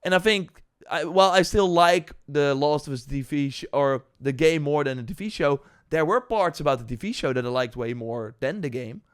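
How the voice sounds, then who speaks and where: A man with a clean, crisp voice that sits a little high talks through his gaming opinions unscripted. His delivery is casual and meandering, with stop-and-start phrasing and bursts of emphasis, like a streamer reacting for viewers. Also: moderate pace. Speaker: gaming news streamer reacting to announcements and chat.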